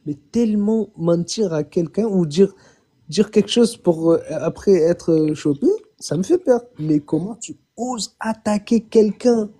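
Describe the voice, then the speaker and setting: A young man from Chad tells his story on a call-in live stream. He has a rather high, very bright voice. He hesitates, repeats himself and keeps swearing that he is telling the truth. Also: breathy edge. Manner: halting, repeats words, often says "je vous jure". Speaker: caller. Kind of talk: phoned-in personal testimony.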